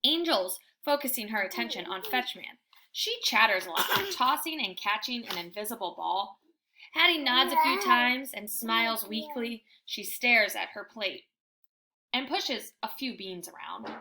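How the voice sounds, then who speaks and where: A woman reading a children's book aloud in an animated, emphatic storytelling style. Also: on the high side, a little slow, background noise. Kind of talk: expressive children's book narration.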